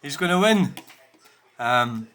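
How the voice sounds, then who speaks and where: A man's voice: a little high, animated, takes it slowly, bright, forceful tone. A Scottish man hosting his own channel, ranting indignantly and profanely about viewer comments with plenty of "you know" fillers. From Scotland. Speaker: channel host.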